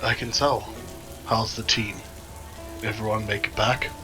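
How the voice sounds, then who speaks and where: An actor playing a cold, controlled criminal go-between in a noir audio drama, formal, curt and disdainful. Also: male voice, lowish voice, steady tone, a little slow, music in the background, lightly acted. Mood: cool, stern. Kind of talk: scripted audio drama dialogue.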